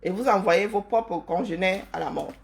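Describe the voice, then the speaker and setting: A woman speaks straight to her live-stream viewers in a firm, lecturing monologue. Her pitch sits in the middle range, and she drives each point home with rhetorical questions and emphatic asides. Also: normal speed, gravelly, a little hiss or hum. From Cameroon.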